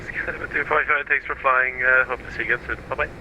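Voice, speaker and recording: Flat, bright-voiced male air traffic controller on radio. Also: mid-range voice, fast talker. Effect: aviation radio transmission. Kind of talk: procedural aviation radio phraseology. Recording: muffled, noisy aviation radio channel.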